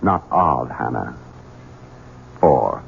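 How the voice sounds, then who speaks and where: A man acting in a 1970s radio drama as a menacing, scheming heir in his late thirties, with a taunting, coldly deliberate delivery. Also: very deep voice, animated, creaky, exaggerated voice. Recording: noisy old radio broadcast, muffled.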